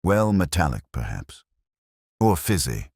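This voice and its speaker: Guy doing a shy village vicar in an audiobook, super low mumbly voice, unsure of himself. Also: sing-song, unhurried, darkish tone, breathy edge, dry recording, studio quality, exaggerated voice. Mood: hesitant, puzzled. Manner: murmuring, hesitant. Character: timid, confrontation-shy village reverend, mildly perplexed.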